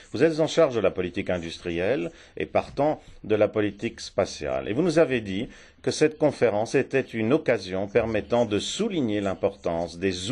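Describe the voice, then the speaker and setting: Low-voiced man chairing a formal conference. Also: darkish tone. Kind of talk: formal opening remarks. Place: parliament hemicycle.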